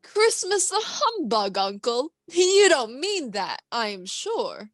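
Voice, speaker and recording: A woman's voice: sits high, wildly swooping pitch, slow, dry recording, studio quality, heavily acted. A young woman playing a cheerful, earnest nephew in a school radio play, theatrical and pleading. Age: teenager or young adult.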